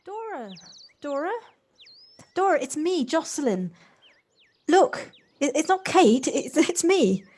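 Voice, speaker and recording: Woman acting a high-voiced, flustered, polite city woman. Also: expressive, slow pace, long pauses, a little mellow. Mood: alarmed, apologetic. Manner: hesitant, apologetic, stammering politely. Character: polite, flustered, self-doubting city woman, kindly and apologetic. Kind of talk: audio play acting.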